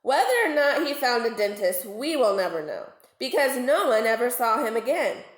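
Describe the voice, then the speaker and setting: A woman reading a children's storybook aloud like a teacher, deliberate and expressive with dramatic emphasis. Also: a little high. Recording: roomy, echoing home recording.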